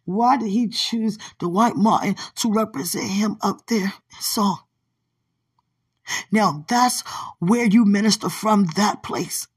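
A husky woman minister delivering a slow prophetic word to a singer. She drifts between firm, raised exhortation and soft whispers, sounding drowsy as she speaks. Her delivery is unhurried and deliberate. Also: mid-range voice. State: drowsy, dozing off.

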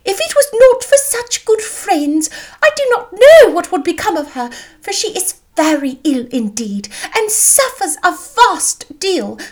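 A woman's voice: very high voice, big pitch swings, measured pace, darkish tone, pitched above the performer's own voice, full character voice. A woman voicing a fussy, self-important middle-aged mother in a period novel, effusive and exclamatory. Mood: gushing.

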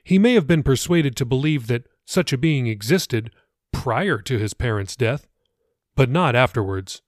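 A man's voice: medium pitch, lively intonation, measured pace, no echo, clean studio sound. A man reading his own fiction audiobook as a scripted narration.

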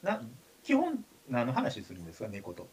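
Male radio talker, Kansai accent, lively swinging intonation, relaxed conversational storytelling. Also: medium pitch, normal speed, slightly rough. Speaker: radio show talker, writer. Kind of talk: casual chat and anecdote telling.

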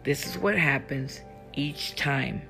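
A woman's voice: a bass voice, takes it slowly, vocal fry, music in the background, full character voice. A woman reading as an indignant, protective woman friend, speaking a bitter, fuming inner monologue.

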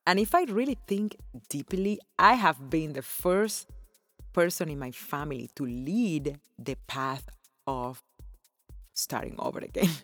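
Low-voiced woman podcast host. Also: lively intonation, measured pace, fairly bright tone, no echo, studio quality, soft background music. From Cuba. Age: 40s. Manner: effusive, earnest, laughs often. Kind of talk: personal podcast monologue.

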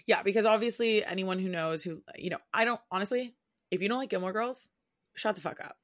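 A woman in her thirties co-hosting a podcast in casual chat from home, chatty and expressive, often laughing. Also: medium pitch, fairly quick. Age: 30s. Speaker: podcast co-host.

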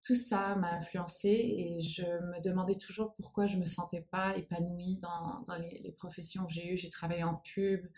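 A woman's voice: fairly low pitch, level tone, normal speed, few pauses, darkish tone. A woman in her forties whose first language is English, speaking French with an English accent, answering interview questions thoughtfully and searching for her words. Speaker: guest, nonprofit founder. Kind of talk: interview conversation.

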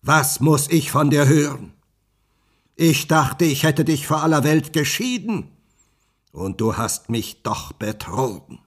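A man voicing a wicked old witch in a fairy tale, shrill and scolding. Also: expressive, slow, slightly rough, heavily acted. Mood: furious.

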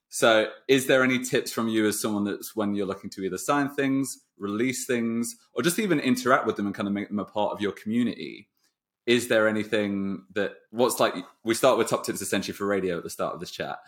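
A man with a fairly low voice hosts a casual podcast. He talks quickly and at length, in a discursive, conversational way, building up slowly to each point through asides. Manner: wordy, discursive, long run-up to questions. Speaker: podcast host. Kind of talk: casual podcast interview chat.

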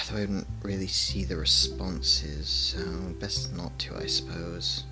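A man playing a fussy, whimsical podcaster who mutters softly and playfully to himself and his cat in an audio roleplay. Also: sits low, steady tone, slow, music in the background. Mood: musing, teasing. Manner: soft-spoken, playful muttering to himself and a pet.

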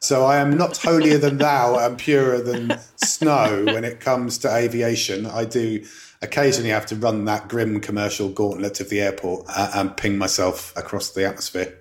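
A man's voice: medium pitch, little pitch movement, normal speed, slight background noise. A man who is a return guest on a podcast, talking in a witty, wordy and self-mocking way. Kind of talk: casual podcast interview.

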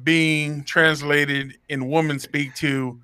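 A man's voice: fairly high pitch, level tone, slow pace, sharp tone, clear, resonant tone. A man in his thirties co-hosting a podcast, teasing his friends in casual banter. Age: 30s. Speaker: podcast co-host. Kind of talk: casual chat.

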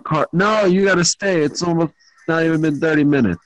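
A man's voice: fairly high pitch, fairly quick, rough recording. Blunt, teasing teen guy with an irish accent. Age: teens. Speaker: podcast host. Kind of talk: casual chat. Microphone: home microphone.

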